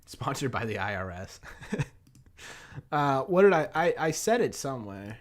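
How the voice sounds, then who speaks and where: Hoarse man with a New England accent. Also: mid-range voice, big pitch swings, moderate pace. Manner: rambling, chuckling, thinking aloud. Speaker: sports podcast host. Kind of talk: casual live-stream chat answering viewers.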